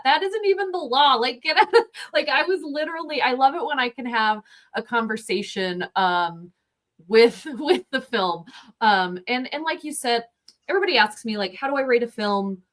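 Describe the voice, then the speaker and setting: A woman's voice: high voice, moderate pace. Chatty woman film reviewer. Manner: chatty, lively, with many fillers. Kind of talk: casual interview chat.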